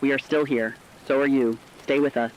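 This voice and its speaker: A man playing a steadfast, weary radio anchor who speaks deliberately and reflectively in a scripted apocalyptic radio drama. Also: medium pitch, steady tone, a little hiss or hum, lightly acted, low-quality recording. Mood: sombre, steadfast. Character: steadfast, weary radio anchor reporting through societal collapse.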